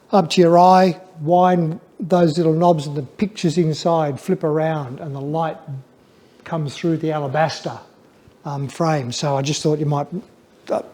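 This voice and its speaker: Older Australian male speaker for an informal illustrated lecture; soft-edged mellow tone, slightly high, halting and thoughtful. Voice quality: flat, dull voice. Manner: hesitant, stammering over word starts, reflective. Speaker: guest speaker, former museum photography curator. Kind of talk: informal illustrated public talk.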